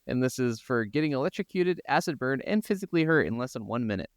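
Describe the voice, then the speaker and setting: A man's voice: mid-range voice, expressive, quick pace, no room sound, studio quality. A man co-hosting a podcast, chatting casually about games with some offhand swearing. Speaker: podcast co-host. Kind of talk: casual gaming podcast chat.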